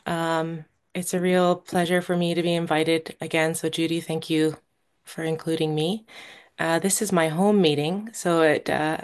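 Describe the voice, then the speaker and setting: A woman speaking as the guest at a recovery meeting, sharing her own story in a halting, earnest way with many pauses and restarts, and a little nervous. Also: deep voice, fairly even intonation, clear, resonant tone. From Canada. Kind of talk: personal recovery share.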